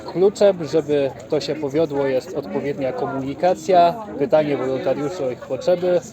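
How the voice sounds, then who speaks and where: A man's voice: fairly high pitch, normal speed. A man giving an interview answer in full, formal sentences. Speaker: volunteer organisation representative. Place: outdoor volunteering fair in a park. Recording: outdoor fair crowd noise.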